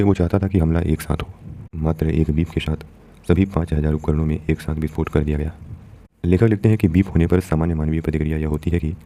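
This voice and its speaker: A man's voice: very deep voice, mellow. An Indian man presenting a news explainer, reading out and explaining an editorial in a didactic way, addressing listeners directly.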